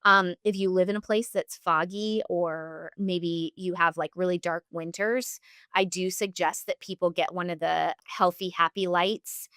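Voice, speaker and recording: A woman with a fairly low, smooth and clear voice gives earnest explanations as a guest practitioner in an interview. She is instructive and talks at length, and the sound is close and dry. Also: steady tone, measured pace, fairly bright tone, no echo. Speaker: functional health practitioner, podcast guest.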